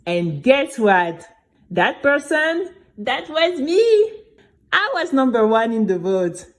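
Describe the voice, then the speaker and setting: A woman's voice: on the high side, slow. A woman playing an earnest, chatty young girl who narrates her own adventure expressively, talking straight to the listener. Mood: excited, earnest. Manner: expressive, conversational, addresses listener. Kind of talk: animated first-person story narration.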